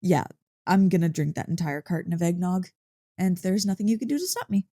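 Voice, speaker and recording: A woman playing an excitable, playful dog-girl girlfriend in an ASMR roleplay, gushing and teasing with mock indignation. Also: lowish voice, brisk, no room sound, studio quality. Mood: playfully indignant. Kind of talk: ASMR roleplay, one-sided conversation.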